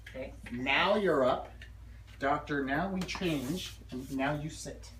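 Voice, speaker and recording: A woman with a low voice leads hands-on staff training in a directive manner, repeating key phrases and checking that listeners follow. The recording is echoey and a little distant, with faint background noise. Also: slow, a bit bright. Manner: directive, drills phrases and checks understanding often. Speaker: dental practice consultant and trainer. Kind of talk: hands-on staff training with role-play demonstration.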